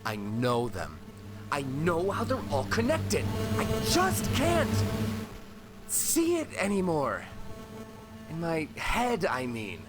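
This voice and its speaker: A rambling, rattled delivery driver, swinging pitch. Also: male voice, wildly swooping pitch, slow pace, full character voice. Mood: frustrated. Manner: rambling, self-correcting, muttering to himself. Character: rattled, rambling delivery driver disoriented after head injury. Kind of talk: post-apocalyptic audio drama monologue. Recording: radio transmission with music behind.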